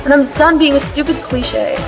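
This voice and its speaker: A woman's voice: high-pitched, normal speed, vocal fry, over music. A young woman playing a lovesick, anxious and blunt teenage girl in a contemporary audio play. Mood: anxious confiding. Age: teens to young adult.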